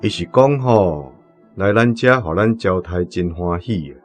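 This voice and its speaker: A man playing a measured village uncle with a Taiwanese accent, reading aloud in a radio drama. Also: deep voice, expressive, faint music behind. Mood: measured, tactful.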